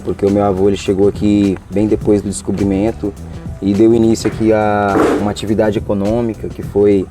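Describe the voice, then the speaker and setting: A man's voice: on the low side, completely flat delivery, brisk, mellow, soft background music. A man, answering interview questions earnestly and hesitantly. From Tocantins, Brazil. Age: 20s. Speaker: interviewee, law graduate.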